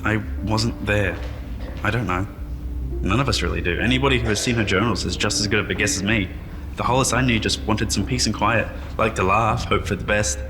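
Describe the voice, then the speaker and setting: A man's voice: fairly low pitch, fairly quick, lightly acted. Sci-fi audio drama: male actor as modest, reflective cryosleep survivor with dry humor, speaking in a reverberant hall over music. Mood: tender, reflective. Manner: self-deprecating, reflective, conversational. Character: wry, modest man revived from centuries in cryostasis. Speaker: interviewee at a press appearance.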